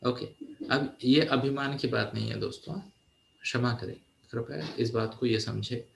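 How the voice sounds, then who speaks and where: A man's voice: medium pitch, fairly even intonation, normal speed, creaky. Echoey forties man, motivational mentor. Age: 40s. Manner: mixes English phrases into Hindi, asks "है ना?" often. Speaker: teenage mentor and coach. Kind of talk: motivational talk to young listeners.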